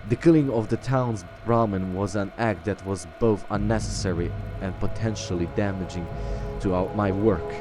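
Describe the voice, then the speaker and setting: A man's voice: on the low side, moderate pace, over music. A man reading game text aloud and role-playing, often stumbling over his words. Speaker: let's-play roleplay host.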